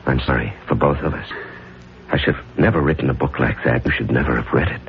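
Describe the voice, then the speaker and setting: An actor in a 1970s radio drama playing a brooding husband with dark secrets, speaking in a deliberate, dramatic, self-questioning way. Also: male voice, extremely low pitch, a little hiss or hum. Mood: regretful. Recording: dull 1970s radio recording with faint music.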